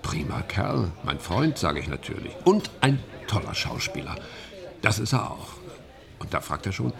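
Radio drama: ingratiating, evasive friend, creaky voice with animated pitch, hesitant manner. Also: male voice, moderate pace, a crowd in the background. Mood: evasive, excusing. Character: ingratiating, evasive actor friend, flattering and excusing himself. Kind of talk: German radio drama acting.

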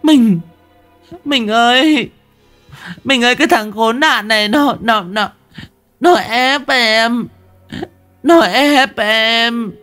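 A man voicing a scheming young adulterous village wife, coy and teasing, then shrill and sobbing. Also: big pitch swings, measured pace, soft background music. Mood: sobbing, pleading.

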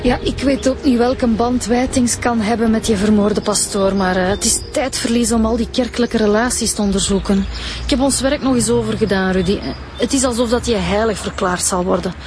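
A woman's voice: on the high side, brisk, lightly acted. Actress as a direct Flemish policewoman, faint music. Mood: matter-of-fact. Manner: direct, conversational, slightly hesitant. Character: direct, businesslike female police inspector. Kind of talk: naturalistic radio drama acting.

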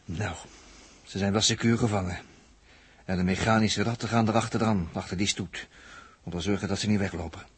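Husky-voiced, shrewd spaceman in vintage radio drama. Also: fairly low pitch, fairly even intonation. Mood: intrigued. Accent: Netherlands Dutch. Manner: deliberate, emphatic, with repeated phrases. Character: Jaap, captive spaceman, shrewd, confident, talkative commentator. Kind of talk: early 1960s Dutch radio drama acting. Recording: vintage 1960s radio recording.

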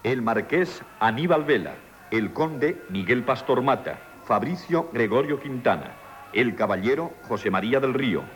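A man's voice: mid-range voice, expressive, moderate pace, a bit bright. A man with a Castilian Spanish accent reading credits formally as a radio announcer.

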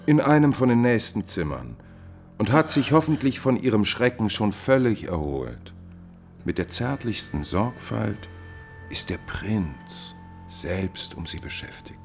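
A scheming male courtier speaks in a low, very animated voice, full of polished, mocking courtesy. It is theatrical mid-century radio acting, with faint music behind. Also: a little slow. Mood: suave, evasive. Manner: suave, calculating, mocking formal courtesy. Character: scheming, smooth male court chamberlain and marquis.

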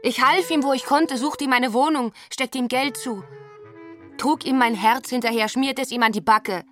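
A woman's voice: high voice, moderate pace, fairly bright tone, soft background music. An actress playing a wistful, intense woman confiding about a lost love in a radio drama, in short theatrical phrases that linger on words. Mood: fervent.

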